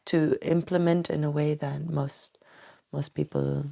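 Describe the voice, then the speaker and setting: Low, gravelly, soft-spoken woman. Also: flat delivery, a little slow. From Tanzania. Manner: hesitant, many restarts and soft breathy trailing phrases. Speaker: doctor and acupuncturist, podcast guest. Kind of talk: reflective interview answers. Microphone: podcast microphone.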